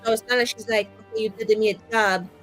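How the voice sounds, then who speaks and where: A woman's voice: on the high side, fairly even intonation, quick pace, fairly bright tone, soft background music, natural voice. A woman playing a chatty, earnest fantasy adventurer in improvised tabletop banter, rambling as she gossips. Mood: casual. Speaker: tabletop roleplay player.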